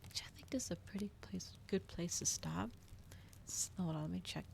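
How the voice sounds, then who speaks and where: Low, creaky-voiced woman streamer, softly chatting. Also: normal speed. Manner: hushed, often dropping into whispers. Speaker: livestreamer hosting an ASMR reading stream. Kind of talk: casual livestream chat, soft ASMR.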